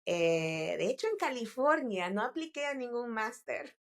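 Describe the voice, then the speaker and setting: A woman's voice: a little high, very animated, measured pace. Woman telling her career story. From El Salvador. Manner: thoughtful, restarts phrases, mixes English business terms. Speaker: guest entrepreneur. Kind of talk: interview conversation.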